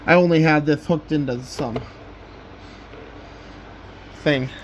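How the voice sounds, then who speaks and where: A man giving a casual home video walkthrough as a hobbyist, haltingly, often trailing off and repeating words, recorded indoors on a handheld camera. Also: on the high side. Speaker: hobbyist showing a weather radio collection. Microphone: handheld camera microphone. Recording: steady background noise, handheld home camera.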